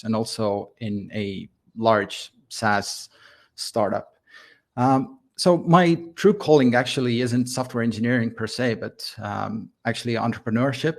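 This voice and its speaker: A man from Estonia with a light Estonian accent, a guest explaining his work step by step in a casual interview. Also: medium pitch. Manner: thoughtful, explains step by step with fillers. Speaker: guest, software founder.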